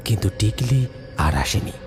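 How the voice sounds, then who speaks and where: A man's voice: lowish voice, lively intonation, moderate pace. A man narrating a horror story in a dramatic, scripted storytelling style. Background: loud music.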